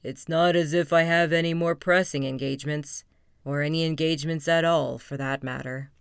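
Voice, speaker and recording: A woman voicing a gloomy, self-pitying male donkey who talks slowly in a mopey, deadpan way. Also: low-pitched, smooth, no room sound, studio quality, voice pushed down.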